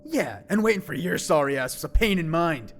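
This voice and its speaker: Cocky teen creature played by a man, hot-tempered, brash, higher-set voice with lively swings, audio drama. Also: brisk, slight background noise. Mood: snappy. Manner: brash, sarcastic, swearing. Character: cocky, hot-tempered teenage boy, a clawed furry animal.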